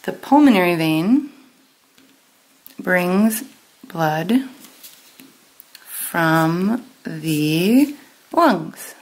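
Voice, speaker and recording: A woman teaching a step-by-step lesson in a deliberate, instructional way, pausing and stressing key terms. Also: on the low side, expressive, darkish tone, poor audio. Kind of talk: step-by-step lesson with pauses for note-taking.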